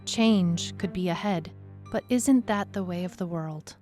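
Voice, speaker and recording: A woman's voice: on the low side, unhurried, close to an everyday voice. Woman as weary, skeptical detective narrator over music. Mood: reflective, uneasy. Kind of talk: scripted first-person story narration. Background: music bed under the voice.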